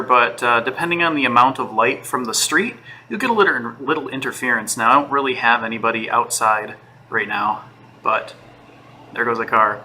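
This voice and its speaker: A man giving a casual, unscripted tech product review from home, relaxed, with frequent fillers and restarts. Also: medium pitch, slight background noise.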